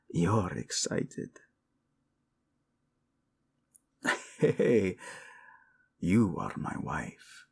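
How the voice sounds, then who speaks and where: A man's voice: deep voice, exaggerated voice. A man playing a possessive, devoted prince in a romantic audio roleplay, murmuring formal words with Spanish endearments to his wife. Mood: seductive. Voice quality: husky, gravelly, breathy in places.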